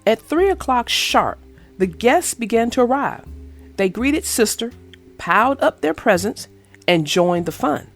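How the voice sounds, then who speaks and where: A woman reading a children's picture book aloud in an expressive, sing-song storytelling manner. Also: a little high, measured pace, faint music behind. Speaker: storybook reader. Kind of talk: children's read-aloud narration.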